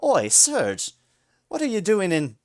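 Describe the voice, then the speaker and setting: A man voicing a blunt, quick-tempered young woman full of slang. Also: medium pitch, wildly swooping pitch, exaggerated voice. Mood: angry. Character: brash rough-talking young woman.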